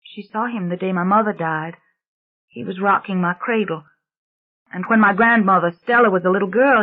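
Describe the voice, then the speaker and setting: A frightened little girl pours out a secret in a desperate, sobbing rush, as a woman reads her part. Her voice rides high above the reader's own and cracks with tears. The recording sounds dull and muffled. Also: close to an everyday voice. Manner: anguished rushing pleading with sobs. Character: frightened tearful young girl confessing.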